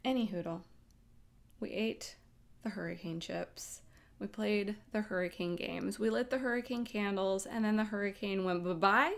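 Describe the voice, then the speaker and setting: A woman's voice: mid-range voice, creaky. An American woman hosting in a casual, playful way, rambling informally as she chats. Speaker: host. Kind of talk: casual, chatty vlog talk.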